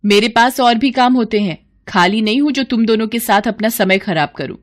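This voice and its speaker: A woman's voice: fairly high pitch, normal speed, voice pushed up, lightly acted. A woman voicing a bitter, nagging stepmother. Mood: scolding, resentful. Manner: scornful, nagging, curt. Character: bitter, scolding stepmother, sharp-tongued and spiteful. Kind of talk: moral storybook acting.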